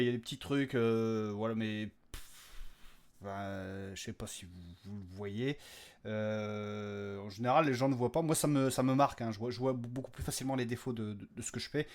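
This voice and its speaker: A man, the creator and host, chatting casually and unscripted to his listeners in a hesitant, rambling way. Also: mid-range voice.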